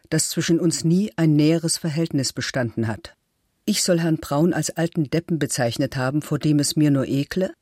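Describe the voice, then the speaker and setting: A composed, firm middle-aged woman in a radio documentary. She speaks formally and articulately, with measured phrasing, close to the microphone in a dry studio. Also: low voice, dark tone, no echo. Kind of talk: documentary reading of statements and letters.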